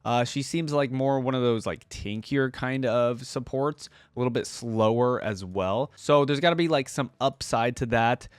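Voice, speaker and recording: A man's voice: mid-range voice, moderate pace, hardly any pauses, clean tone, no room sound. A man giving casual reaction commentary as a channel host, informal and thinking aloud. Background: music from the video being watched.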